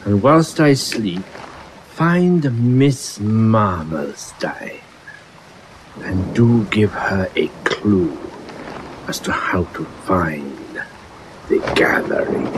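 A man's voice: deep voice, drawn-out words, long pauses, noisy background. A man playing a pompous, menacing vampire master in a horror audio drama, speaking formally and grandly. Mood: cold, commanding. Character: sinister male vampire master, commanding, grandiose.

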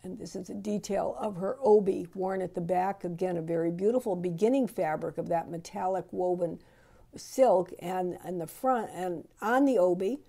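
A middle-aged woman narrates auction pieces in an admiring, unhurried way. Her voice has a heavy gravelly fry and a mellow, dark tone. She often pauses on ums and restarts her phrases. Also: fairly low pitch. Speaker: auction host presenting antique dolls. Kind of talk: unscripted voice-over commentary.

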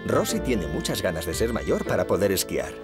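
Easygoing preschool-cartoon father, Castilian accent, very expressive, kindly. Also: sits low, moderate pace, over loud music. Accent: Castilian Spanish. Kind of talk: children's cartoon dub acting.

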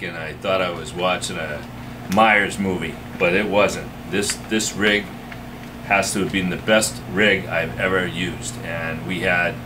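A middle-aged man with a very deep voice gives a jokey, enthusiastic testimonial about a fishing rig. A loud air conditioner hum fills the tackle shop around him. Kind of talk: casual testimonial.

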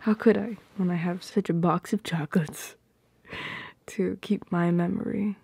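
A woman acts out a tender, playfully jealous girlfriend in an intimate ASMR roleplay. Her voice is dark and husky, drifting into whispers with hesitant pauses. She speaks slowly and teasingly, very close to the microphone. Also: on the low side, steady tone, full character voice. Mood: sleepy, affectionate. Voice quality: soft, breathy, often slipping into whispers.

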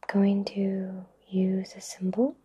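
A woman whispering breathily in a soothing, reassuring way with long pauses, guiding an ASMR Reiki session. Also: medium pitch, monotone, dark tone, slight background noise. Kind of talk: whispered ASMR personal attention.

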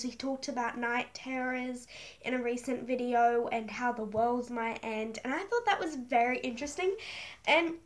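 A young woman vlogging in her bedroom with a stuffy nose, chatting to camera in a rambling way and reading from her phone. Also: high voice. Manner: chatty and rambling, many fillers and restarts. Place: small bedroom indoors. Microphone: webcam in front of her.

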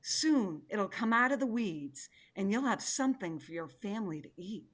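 A woman's voice: medium pitch, expressive, normal speed, natural voice. A woman acting a proud, impatient female tiger in a theatrical play reading, imperious and emphatic. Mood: commanding.

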